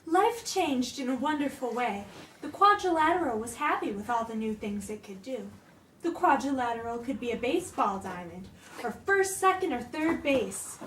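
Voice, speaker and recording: A woman's voice: on the high side, expressive, normal speed, fairly bright tone. A woman narrating a children's storybook aloud as a dramatic reading. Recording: echoey room, distant mic, noisy.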